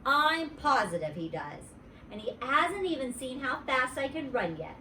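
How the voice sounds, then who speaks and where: A woman voicing a boastful, nosy little schoolgirl in a storybook, excitable and childish. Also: high-pitched, a little slow, slight background noise, voice pushed up, heavily acted. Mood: boastful, prodding. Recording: roomy echo, distant mic.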